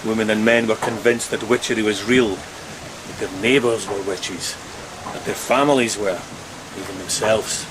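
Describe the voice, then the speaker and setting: Man playing a low-voiced, earnest ship's mate. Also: close to an everyday voice. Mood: grave explaining. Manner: measured, explanatory, deferential. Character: educated, respectful, earnest male ship's first mate. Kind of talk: gothic horror audio drama acting. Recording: loud steady rain noise. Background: rain.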